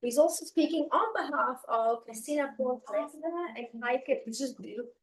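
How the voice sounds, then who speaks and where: A woman with a Polish accent introduces the next conference speaker, a little apologetic. She talks slowly in a slightly high voice, heard from some distance from the microphone. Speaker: session chair.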